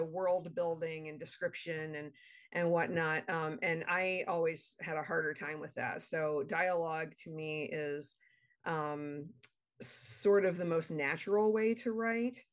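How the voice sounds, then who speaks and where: A woman chatting casually as an interview guest, thoughtful and halting as she explains herself. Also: low voice, fairly even intonation. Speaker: guest playwright.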